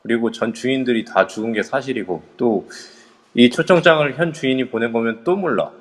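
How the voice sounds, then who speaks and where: A man's voice: medium pitch, fairly quick, in a large hall, natural voice. A man playing an anxious young investigator. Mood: agitated. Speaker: voice actor in a live amateur audio drama.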